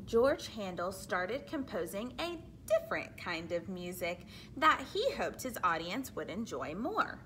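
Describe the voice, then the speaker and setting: A woman reading a picture book aloud like a teacher, deliberate and expressive, pausing for explanatory asides to children. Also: mid-range voice, slight background noise.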